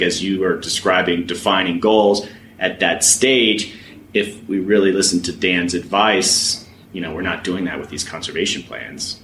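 A man's voice: low-pitched, sharp tone, reverberant, a little hiss or hum. A man hosting a podcast, chatting casually and thinking aloud. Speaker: podcast host.

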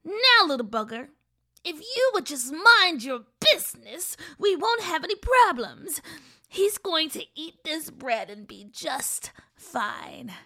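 A woman's voice: high voice, very animated, slow, sharp tone, pitched above the performer's own voice, heavily acted. A woman voicing a cruel, greedy old woman who bosses children around and gloats over fattening them up. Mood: bossy. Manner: bossy, sneering, gloating.

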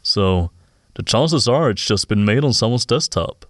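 A man acting a calm male audio analyst in an audio drama. His voice sits fairly low but moves with lively intonation. He thinks aloud in a measured, slightly hesitant way. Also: no echo, clean studio sound. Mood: thoughtful, measured. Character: calm male audio expert examining a mysterious recording. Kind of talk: naturalistic audio drama dialogue.

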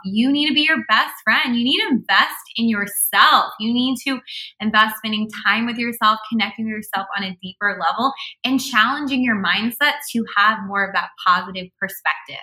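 A woman's voice: on the high side, bright, forceful tone, rough recording. A woman in her thirties chatting earnestly about motivation and gratitude in an upbeat, heartfelt way. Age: 30s. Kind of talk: casual podcast chat.

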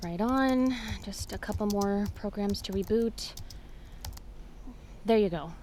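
A woman's voice: medium pitch, slow, darkish tone, close to an everyday voice. An actress playing a cocky, casual hacker in an audio drama, muttering flippantly as she works. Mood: flippant, busy. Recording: noisy, typing and clicks.

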